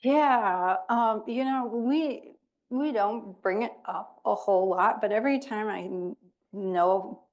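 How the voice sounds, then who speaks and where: A woman's voice: medium pitch, slow, highs cut off. A middle-aged woman presenting at a library talk, chatty, laughing easily and trailing off with fillers. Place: public library event room.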